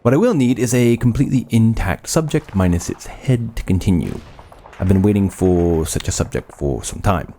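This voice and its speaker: A man acting a cold, obsessive mad scientist in a horror audio drama. The voice is very deep and dark, with clinical, formal phrasing. A faint ambient noise sits behind it. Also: lively intonation, normal speed. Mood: coolly absorbed.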